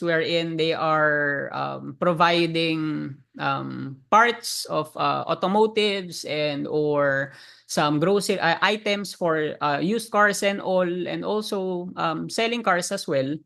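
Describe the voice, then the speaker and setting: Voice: mid-range voice, gravelly, a bit bright. A trading educator with a Filipino accent, whose first language is Tagalog, giving a live market lesson and asking viewers to type in chat. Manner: addresses viewers directly, asks them to type in chat; frequent fillers and restarts.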